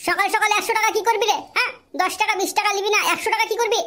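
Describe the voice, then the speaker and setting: A performer voicing a scolding, sharp-tongued cartoon character in a comedy, with a pitched-up filter. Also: steady tone, rapid-fire, crowd noise. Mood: suspicious, scolding. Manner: brash, scolding, swearing. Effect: chipmunk-like pitch-up filter.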